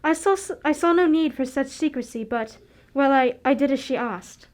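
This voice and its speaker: Woman playing high-voiced distressed young governess. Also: a little mellow, lightly acted. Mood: nervous, halting. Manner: halting, emotional. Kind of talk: radio drama acting.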